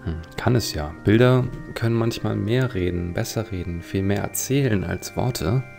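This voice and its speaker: A man giving casual, musing, unhurried commentary as he plays a video game. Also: lowish voice, gravelly, darkish tone, faint music behind. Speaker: let's player.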